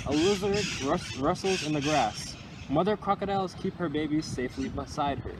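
A young man reads a picture book to small children with expressive, deliberate storytelling phrasing, recorded outdoors. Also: fairly high pitch. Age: young adult. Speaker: county environmental employee reading to children. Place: outdoors under a tree by water. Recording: outdoor recording with loud ambient noise.

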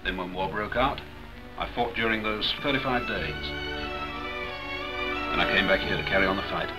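An actor in his thirties playing a polite, modest Polish Air Force flight lieutenant who speaks crisp, careful English, in a 1940s film. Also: sits low, moderate pace, lightly acted, rough recording. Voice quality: crisp, well-spoken English. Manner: modest and courteous. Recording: 1940s film soundtrack with music behind.

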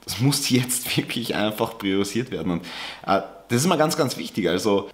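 A man's voice: lowish voice, animated, brisk. A man in his twenties chatting casually in a home vlog as a fitness coach, dropping English gym jargon into his speech. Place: home kitchen and dining area.

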